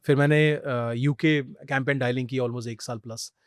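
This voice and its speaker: A man's voice: medium pitch, moderate pace, clean tone, no room sound. A middle-aged man from India, a podcast guest telling stories in a conversational way, often dropping English phrases into his Hindi. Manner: mixes Hindi with English phrases, animated storytelling. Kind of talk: conversational interview storytelling.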